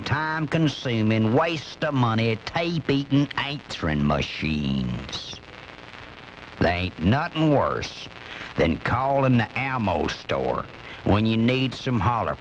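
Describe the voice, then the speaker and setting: A man playing an indignant blue-collar American right-wing crank, ranting with drawn-out emphasis in a comic radio monologue. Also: lowish voice, a little hiss or hum. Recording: muffled off-air cassette, music behind.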